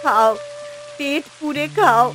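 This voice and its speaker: A woman voicing a poor village wife and mother in a cartoon, fretful but tender, with an expressive, homely delivery. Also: high voice, takes it slowly, music in the background. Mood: caring, pleased. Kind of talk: cartoon voice acting.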